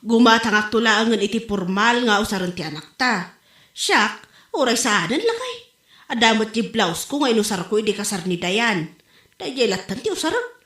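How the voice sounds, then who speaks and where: Woman acting as chatty vain ilocano mom in a comedy skit, bright swoopy voice, lots of exclaiming. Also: on the high side, very animated, normal speed. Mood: resigned, insistent. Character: chatty vain mother, a wife in comic domestic squabbles. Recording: roomy, echoey home recording.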